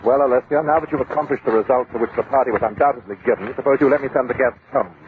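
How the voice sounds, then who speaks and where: A man's voice: medium pitch, racing, close to an everyday voice. A man playing a blunt, bossy but fair-minded middle-aged lord in an old radio drama, brusque and commanding. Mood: brisk, reasonable. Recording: tinny, noisy old radio recording.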